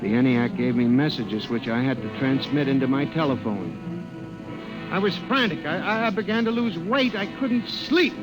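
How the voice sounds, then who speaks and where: A man's voice: mid-range voice, animated, moderate pace, natural voice, low-quality recording. An actor playing a frantic, haunted man who narrates his own nightmare of murderous machines, with intense theatrical delivery in an old-time radio drama. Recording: old radio recording with dramatic music behind.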